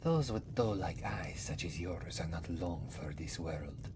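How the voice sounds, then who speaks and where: A man voicing a mysterious, wry old cat-man, rambling in a breathy half-whisper for an ASMR fantasy roleplay. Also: low-pitched, animated, background noise. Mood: cautioning. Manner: rambling, third-person speech, theatrical pauses. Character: mysterious old male Khajiit cat-man wanderer, wry, world-weary, teasing. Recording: music behind the voice. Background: prominent background music.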